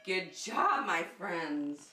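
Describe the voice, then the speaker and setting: Woman, children's story time host, lowish voice, unhurried, simple encouraging phrasing, echoey room. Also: expressive, bright, forceful tone, soft background music. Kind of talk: children's circle time and read-aloud. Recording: roomy, echoey, distant microphone.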